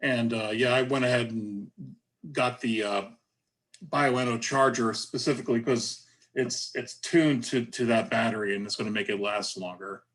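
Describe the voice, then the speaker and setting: A man's voice: medium pitch, normal speed, a bit bright, rough recording. A man chatting casually as a workshop moderator on an online video call. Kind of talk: casual chat.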